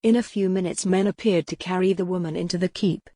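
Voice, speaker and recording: Low-voiced woman narrating historical romance. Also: fairly even intonation, quick pace, warm tone, no echo, studio quality. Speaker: audiobook narrator.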